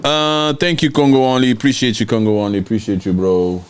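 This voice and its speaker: A man in his younger years hosts a loose livestream, talking straight to his viewers and reacting to their comments as they come in. His delivery is brash and teasing, with frequent laughs breaking through. His voice sits at an ordinary middle pitch. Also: level tone, a little fast, clear, resonant tone. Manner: brash, joking, mocking and laughing often. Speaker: livestream host. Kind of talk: casual livestream chat reading viewer comments.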